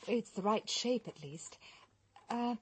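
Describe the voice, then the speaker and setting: An actress playing a polite, persistent female private investigator in a radio drama, measured and insistent. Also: on the high side, animated, breathy edge, heavily acted. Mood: polite, eager.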